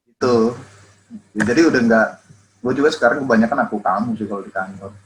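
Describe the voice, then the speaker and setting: A man in a casual chat with friends, relaxed and patiently explaining regional words. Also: mid-range voice, quick pace, a little hiss or hum, poor audio. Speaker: podcast co-host.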